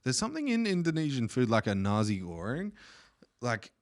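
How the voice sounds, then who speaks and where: A man's voice: medium pitch, wildly swooping pitch, brisk, dry recording, clean studio sound. A man hosting a podcast in casual, crude, joking chat, swearing freely. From Australia. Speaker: podcast host. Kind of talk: casual profane interview chat.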